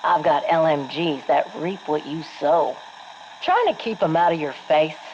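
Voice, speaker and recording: An actress playing a brash, folksy Marine quartermaster with an American accent, delivering sassy, salty patter for a game. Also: female voice, low-pitched, animated, unhurried, a little mellow. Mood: brash, cocky. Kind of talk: scripted game monologue. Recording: dull, noisy radio log.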